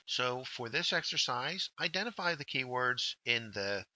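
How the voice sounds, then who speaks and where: A man narrating a scripted instructional workshop, explaining step by step with deliberate emphasis on key words. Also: medium pitch, expressive, sharp tone. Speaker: workshop instructor, journal editor.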